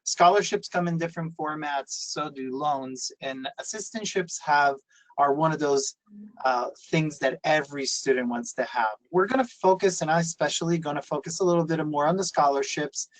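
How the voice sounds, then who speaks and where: A man presents on a video call, his voice sitting a little high for a man. He explains things patiently, with plenty of fillers and restarts along the way. The sound is dry and close, with no room echo. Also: normal speed. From Italy. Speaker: university presenter. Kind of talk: webinar presentation.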